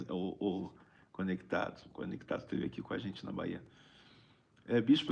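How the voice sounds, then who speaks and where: A Brazilian man with a raspy, gravelly voice, a preacher talking conversationally on a live stream and repeating phrases for emphasis. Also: on the low side. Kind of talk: informal livestream preaching, reading viewer greetings.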